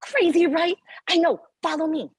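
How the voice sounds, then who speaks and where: A woman acting a brisk, bossy, mysterious young female character in a script table read. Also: very high voice, no room sound, clean studio sound. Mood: cheeky, insistent.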